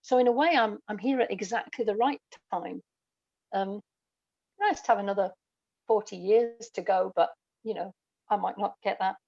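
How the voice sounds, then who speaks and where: A woman's voice: mid-range voice, normal speed, gravelly, darkish tone, no echo. Woman in conversation, slightly muffled dry sound. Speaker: guest, audio dramatist and performer. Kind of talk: conversational interview storytelling.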